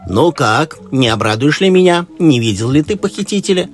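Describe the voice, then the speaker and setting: A man's voice: a little high, expressive, normal speed, voice pushed up. Man voicing an anxious, fond old tsar. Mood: hopeful, anxious. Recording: music behind the voice.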